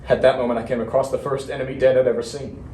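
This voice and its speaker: A man playing a haunted young Marine veteran, telling of war in a halting, stammering dramatic monologue with heavy pauses. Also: mid-range voice, flat delivery, warm tone, lightly acted. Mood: horrified, haunted. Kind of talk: serious dramatic interpretation monologue. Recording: roomy, distant mic, faint noise.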